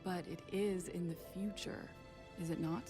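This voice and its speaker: An actress playing a gentle, earnest queen in an animated fairy tale, speaking formally. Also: fairly low pitch, moderate pace, lightly acted. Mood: earnest counsel. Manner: earnest, formal storybook speech. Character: gentle, earnest queen advising a troubled king. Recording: music behind the voice.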